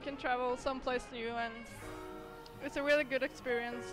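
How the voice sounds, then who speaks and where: High-voiced Norwegian young woman. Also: fairly even intonation, normal speed, low-quality recording. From Norway. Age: 20s. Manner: hesitant, searching for words. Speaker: exchange student. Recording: music playing under the voice.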